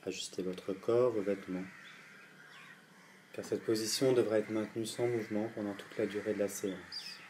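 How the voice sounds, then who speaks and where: A man with a fairly low voice leads a guided relaxation. He speaks calmly and gently, placing each instruction deliberately and leaving pauses. Faint night insects and natural sounds sit behind his voice. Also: a little hiss or hum. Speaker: yoga nidra guide. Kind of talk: guided meditation. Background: crickets and insects at night.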